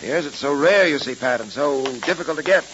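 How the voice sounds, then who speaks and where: A man's voice: on the high side, lively intonation, brisk, fairly bright tone. An American man playing a resolute, principled police detective in a 1930s radio serial, with earnest, formal phrasing. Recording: muffled, hissing old radio recording.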